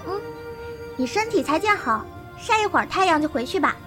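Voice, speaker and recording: Very high-pitched, earnest young wolf-raised girl, acted by a woman. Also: big pitch swings, a little fast. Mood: plain, caring. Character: earnest young wolf-raised martial arts girl, naive, blunt, devoted. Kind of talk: Chinese period audio drama acting. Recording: voice over prominent background music.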